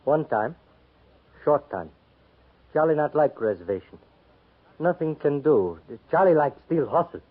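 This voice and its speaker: A husky Crow scout on old radio. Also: male voice, fairly high pitch, animated, a little slow, pauses often. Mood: plain. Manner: broken clipped English, speaks of himself by name. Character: Crow Indian scout, grown man, wry and proud. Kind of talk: 1950s radio drama acting. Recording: tinny lo-fi 1950s radio broadcast.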